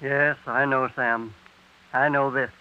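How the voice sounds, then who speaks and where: An actor playing an earnest, indignant medical researcher, emphatic and declamatory in 1930s radio drama style. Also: male voice, medium pitch, fairly even intonation, slow pace, slight background noise, close to an everyday voice. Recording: dull lo-fi vintage radio recording.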